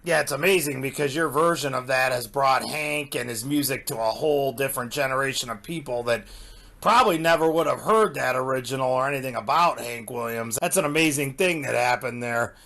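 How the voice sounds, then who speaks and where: Guy interviewing, bright tense tone, friendly enthusiastic questions. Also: mid-range voice, a little fast. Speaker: interviewer, host.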